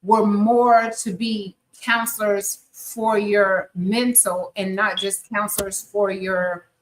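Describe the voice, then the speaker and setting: Slow-talking, effusive woman in her fifties. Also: mid-range voice, level tone, poor audio. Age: 50s. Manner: chatty and effusive, encouraging. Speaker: podcast host. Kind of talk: live podcast interview.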